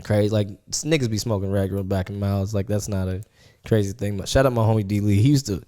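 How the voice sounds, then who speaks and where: A man's voice: on the low side, steady tone, quick pace, dark tone. Man in his 20s, podcast host, casual chat, rambling with fillers. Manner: rambling, full of fillers and repeats.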